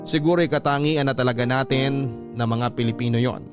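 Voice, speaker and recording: A man's voice: medium pitch, fairly even intonation, a little fast. A man narrating a scripted letter as a radio host, in a classic storytelling style. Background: background music.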